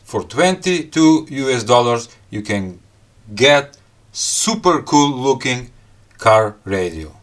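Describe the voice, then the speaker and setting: A man reviewing a gadget in a casual unboxing style, talking in halting, piecemeal phrases and muttering to himself. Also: medium pitch, sing-song. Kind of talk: casual unboxing and product explanation.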